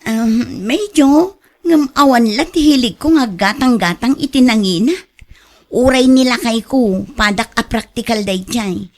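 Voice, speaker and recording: Actress doing down-to-earth ilocano lady coworker, modest, chatty radio drama, a bit high voice. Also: unhurried, close to an everyday voice. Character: practical, unpretentious female co-worker, modest about spending.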